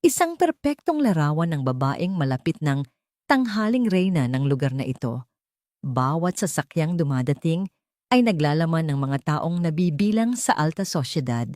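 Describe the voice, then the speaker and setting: A woman's voice: sits low, very animated, moderate pace, darkish tone, no room sound, clean studio sound. A woman reading a scripted dramatic story as a storyteller. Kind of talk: dramatic scripted audiobook narration.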